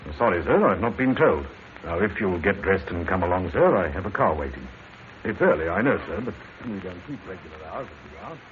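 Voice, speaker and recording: An actor playing a deferential police officer summoning someone in a vintage radio drama. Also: male voice, sits low, very animated, slight background noise. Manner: polite, hurried. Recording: tinny old radio broadcast.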